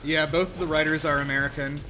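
A man's voice: on the high side, fairly even intonation, fast talker, background noise. A man chatting casually and jokingly as he comments on a film as co-host. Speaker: podcast co-host. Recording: loud film soundtrack playing behind. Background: film music and dialogue.

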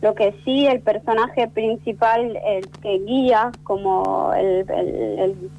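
A woman guest, French by first language, speaking thoughtfully with many pauses and restarts in an interview. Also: mid-range voice, fairly even intonation, vocal fry, warm tone, a little hiss or hum, low-quality recording. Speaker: interview guest, actress and writer. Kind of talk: conversational interview.

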